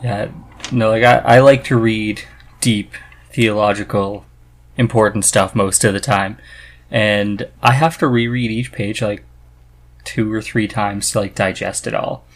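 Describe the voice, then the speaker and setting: A man's voice: on the low side, steady tone, a little slow. A man hosting a podcast in a casual, chatty style. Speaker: podcast host. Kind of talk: casual chat.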